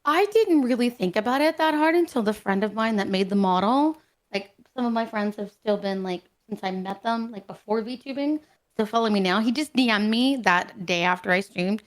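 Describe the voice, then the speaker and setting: A young woman speaks openly as a guest in a casual interview, chatty and frank, filling her sentences with "like." Her pitch sits in a middle range. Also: brisk. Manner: candid, chatty, uses "like" often. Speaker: streamer guest.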